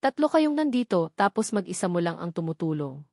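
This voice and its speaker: A woman's voice: lowish voice, sing-song, brisk, vocal fry, dark tone, no room sound, studio quality, heavily acted. A woman voicing a resentful, nagging aunt who scolds and demands, in a dramatized story. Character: nagging, resentful aunt grudgingly housing relatives. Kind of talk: dramatized novel narration.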